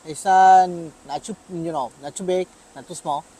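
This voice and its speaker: A man's voice: sits high, fairly even intonation, normal speed, a crowd in the background, poor audio. A man from Mexico, the host's cameraman, chatting easily as a guest with many fillers and agreeing murmurs. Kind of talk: casual chat.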